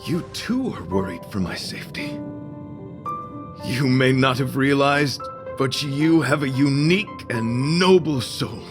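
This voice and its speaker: A man's voice: medium pitch, lively intonation, measured pace, music in the background, lightly acted. A man voicing a gallant, devout knight in a video game, with formal, florid and chivalrous delivery. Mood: gentle, courteous. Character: gallant, devout male knight of beauty, courteous and earnest.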